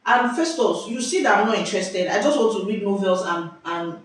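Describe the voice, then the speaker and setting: A young woman with a Nigerian accent tells a story in an animated, exclamatory way, cutting herself off and restarting. Her voice bounces around a very echoey room. She sits some way from the mic, and a faint hiss runs underneath. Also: mid-range voice, fairly quick, recorded from a distance. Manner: lively, exclamatory, self-interrupting. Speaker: vlogger. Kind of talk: casual storytelling chat. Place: indoors at home.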